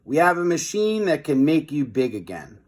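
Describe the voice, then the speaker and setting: A man voicing an excitable young schoolboy in a storybook reading, with drawn-out, exclaiming calls. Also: a little high, pitched above the performer's own voice. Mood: calling out.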